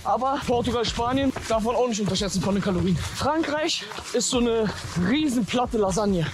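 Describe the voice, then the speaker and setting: A man with a very high-pitched voice talks into a camera he carries along an outdoor trail. He stays upbeat while on the move. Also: moderate pace, noisy background. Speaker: long-distance runner vlogging his trek. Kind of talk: vlog narration while walking.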